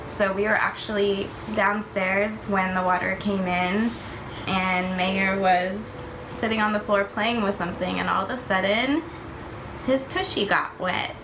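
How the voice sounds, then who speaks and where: A woman's voice: mid-range voice, steady tone, a little slow. A woman recounting her own experience as a mother, in a candid, conversational way. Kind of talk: candid personal storytelling. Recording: echoey room with clear background noise.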